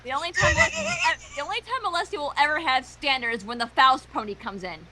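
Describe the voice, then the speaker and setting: A woman co-reading on a livestream, chatting in casual, excitable banter. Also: high voice, fast. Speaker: livestream guest co-reader. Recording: faint background noise, livestream audio.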